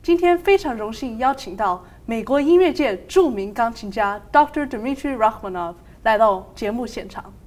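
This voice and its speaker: A woman's voice: a little high, expressive, normal speed, hardly any pauses, a little mellow. A woman hosting a television talk show, asking a guest questions with open admiration. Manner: admiring, attentive interviewer. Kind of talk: interview questions.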